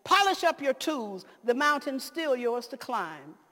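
A woman's voice: high voice, big pitch swings, unhurried, a bit bright, pitched above the performer's own voice, full character voice. A woman voicing God as a stern but tender old male deity, urging in a rhythmic, rising way. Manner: exhorting, rhythmic and urgent. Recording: long hall reverb.

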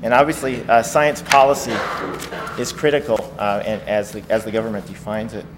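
A man introducing a guest speaker in a lecture hall, halting and full of fillers. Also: fairly low pitch, level tone. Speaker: event host introducing a speaker. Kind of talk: formal introduction. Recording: reverberant hall, faint noise.

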